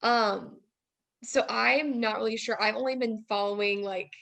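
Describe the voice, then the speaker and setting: Creaky-voiced young woman. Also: a little high, fairly even intonation, measured pace, fairly bright tone. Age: 20s. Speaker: student teacher guest. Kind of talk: casual discussion.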